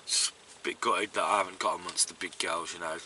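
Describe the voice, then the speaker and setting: A man's voice: deep voice, brisk, a little hiss or hum. A man vlogging outdoors at a lakeside, talking casually to camera with lots of fillers and sounding tired and congested. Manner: relaxed, chatty, with many fillers. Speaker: fishing vlogger.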